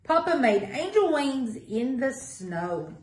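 A middle-aged woman reading a picture book aloud to young children in a deliberate sing-song way. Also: high-pitched, very animated, a little mellow. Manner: sing-song, deliberate, asks listeners questions. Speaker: library story time reader. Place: small indoor room with block walls. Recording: echoey small room.

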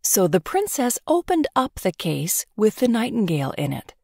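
A woman narrating a children's storybook in an expressive, scripted read-aloud style. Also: mid-range voice, normal speed, vocal fry, no room sound, studio quality.

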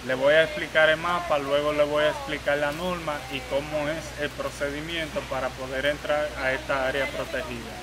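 A man working as a park tour guide, explaining a trail map to visitors outdoors in a formal, steady way. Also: fairly high pitch, fast talker, few pauses, crowd noise, low-quality recording.

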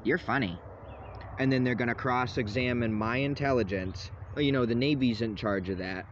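A young man ranting loudly and rambling into his phone from inside a parked vehicle. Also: mid-range voice, moderate pace, dull audio, slight background noise. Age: young adult. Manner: loud, agitated, rambling rant. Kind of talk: ranting selfie vlog. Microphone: phone at arm's length.

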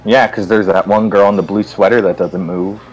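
A man's voice: on the low side, fairly even intonation, fairly quick, a little hiss or hum, low-quality recording. A man chatting casually on a game stream, playfully riffing on absurd ideas. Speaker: guest player in game stream. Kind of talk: casual joking chat.

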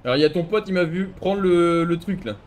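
A man's voice: sits high, a little fast, darkish tone. A man talking casually to other players in a video game. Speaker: gamer. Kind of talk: casual gaming chat. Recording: noisy game voice chat.

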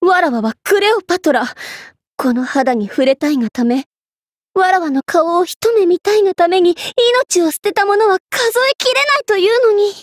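Young queen, very high girlish pitch, imperious, vain, easily flustered tsundere, anime game, dry close sound. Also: animated, normal speed, no echo. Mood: haughty. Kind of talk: Japanese anime game character voice acting.

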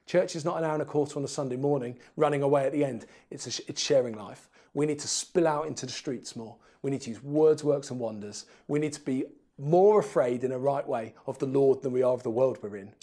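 A man's voice: mid-range voice, quick pace, few pauses, mellow. An English man in his forties speaking earnestly and emphatically as an interview guest, firing off rhetorical questions, on a lapel mic. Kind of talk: animated interview talk. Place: small indoor studio room.

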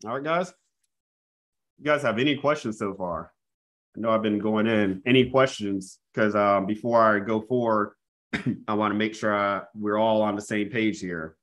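A man's voice: on the low side, normal speed. A man in his thirties giving a live real estate coaching webinar over a video call, talking energetically and often calling out to his audience. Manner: energetic, repeats "guys", restarts phrases. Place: small room indoors. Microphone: earphone mic on video call.

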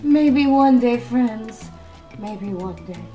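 A young woman with a fairly high voice leads a joking home tour, switching between chirpy lines and deadpan asides. She is recorded on a camera mic in a roomy apartment, with faint music under her. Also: slow. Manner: deadpan, self-mocking, jumps between bright and flat. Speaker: vlogger. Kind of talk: comedic home tour vlog. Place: apartment, indoors. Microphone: camera mic, handheld and at distance.

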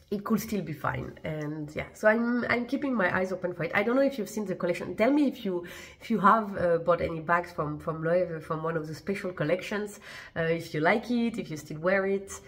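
Chatty woman with a stuffy cold voice. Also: lowish voice. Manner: chatty and hesitant, many fillers and restarts. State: congested, blocked voice from a cold. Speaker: fashion vlogger. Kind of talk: casual chat to camera. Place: living room at home, indoors.

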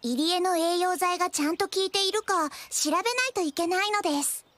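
A woman's voice: very high-pitched, brisk, fairly bright tone, dry recording. A woman playing a cute, playful, evasive little girl in anime, with cutesy singsong sentence endings. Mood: playfully evasive. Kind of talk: anime drama dialogue.

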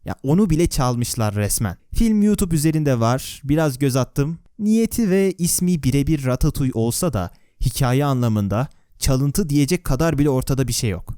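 Male video narrator, mellow tone, dry close mic, conversational scripted delivery, sarcastic asides, viewer questions. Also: medium pitch, lively intonation, moderate pace, hardly any pauses, smooth, dry recording. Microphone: close, dry voice-over microphone.

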